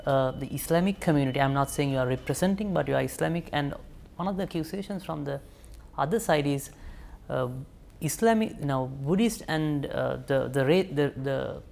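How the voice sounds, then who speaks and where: A young man, interviewing in a halting, tentative and roundabout way. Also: fairly high pitch, creaky, a little hiss or hum. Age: young adult. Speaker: interviewer, host. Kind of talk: television interview questioning. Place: furnished indoor interview room. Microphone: lapel mic.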